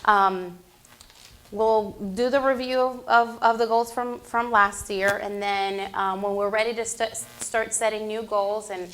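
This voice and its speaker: Middle-aged woman, mid-pitched voice, meeting facilitator from Puerto Rico. Conversational and encouraging. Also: fairly even intonation, a little slow, fairly bright tone. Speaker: meeting facilitator, municipal association field service manager. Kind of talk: informal introductory remarks to a council meeting.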